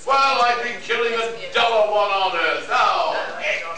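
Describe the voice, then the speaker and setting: A man's voice: high-pitched, lively intonation, a little slow, background noise. A man acting a weary, cynical young botanist-adventurer in a pulp science fiction radio play, grumbling and declaiming. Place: live performance in a convention room. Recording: echoey hall from far off, audience murmur.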